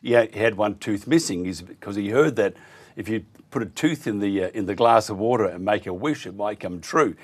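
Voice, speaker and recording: Joking talk radio host. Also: male voice, fairly low pitch, brisk. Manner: joking, teasing. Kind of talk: radio interview.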